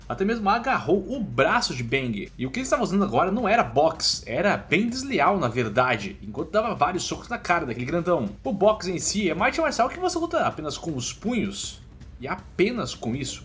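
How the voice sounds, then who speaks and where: A man's voice: high voice, a bit bright, slight background noise. A man with a Brazilian accent giving a scripted, colloquial narration of a comic story recap.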